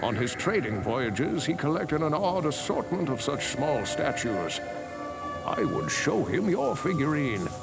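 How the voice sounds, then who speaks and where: An actor playing a wise, solemn elderly sage in a fantasy game, with a gravelly voice and deliberate delivery. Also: male voice, fairly high pitch, very animated, exaggerated voice, low-quality recording. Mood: thoughtful, storytelling. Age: older. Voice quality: gravelly, creaky. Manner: slow, deliberate, portentous. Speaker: game character giving lore. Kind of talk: fantasy game narrative dialogue. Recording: loud game music underneath. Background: loud background music.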